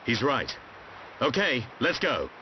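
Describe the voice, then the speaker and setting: An actor playing a serious, seasoned warrior leader in a video game, speaking tersely and with resolve. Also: male voice, mid-range voice, wildly swooping pitch, slow pace, slight background noise. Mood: serious, guarded. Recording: muffled game audio under loud music.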